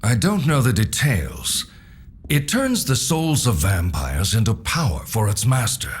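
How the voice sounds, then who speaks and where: Low, knowing older mentor. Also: male voice, big pitch swings, slightly rough, heavily acted. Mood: solemn, explaining. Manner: measured, expository. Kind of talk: video game cutscene acting.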